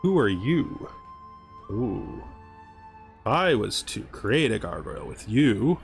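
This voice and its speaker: A man's voice: fairly low pitch, very animated, takes it slowly, gravelly, faint music behind. A man commentating casually on a video game he plays, thinking aloud and reading text from the screen. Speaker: gameplay streamer.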